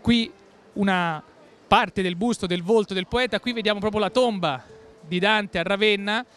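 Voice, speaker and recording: A man in his thirties reporting from an exhibition stand, effusive and quick with lofty praise. His voice is high and smooth, and faint music plays behind him. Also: fairly bright tone. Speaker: costumed presenter. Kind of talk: enthusiastic event reporting. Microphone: handheld microphone.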